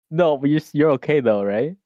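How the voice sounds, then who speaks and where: A man co-hosting a stream, teasing his partner with quick comebacks in casual banter. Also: a little high, no echo, dull audio. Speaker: streamer co-host.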